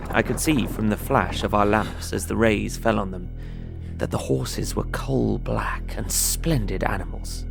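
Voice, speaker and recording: An actor playing a young, earnest traveller, narrating his diary in a measured, uneasy way. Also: mid-range voice, creaky. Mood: tense. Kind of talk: dramatised first-person diary narration.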